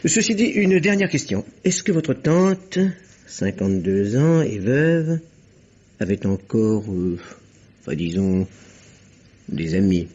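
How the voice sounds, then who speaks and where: A police inspector in a French radio mystery, speaks low through a dull, muffled recording. He questions politely and tactfully, with a husky edge. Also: big pitch swings, a little mellow, full character voice. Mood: wry, tactful. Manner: polite, measured questioning with hesitant, tactful probes. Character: probing, courteous male police inspector questioning a suspect.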